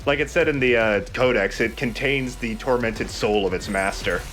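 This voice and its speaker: A man's voice: medium pitch, normal speed, a bit bright. A man hosting a let's play, chatting casually as he comments on the game. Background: game music.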